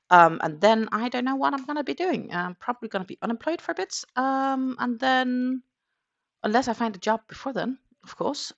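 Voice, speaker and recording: A woman's voice: medium pitch, gravelly, fairly bright tone. Danish woman, native Danish speaker, crochet livestream host, chatty, rambling, lots of ums. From Denmark. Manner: chatty, rambling, many fillers. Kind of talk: casual chat on a morning livestream.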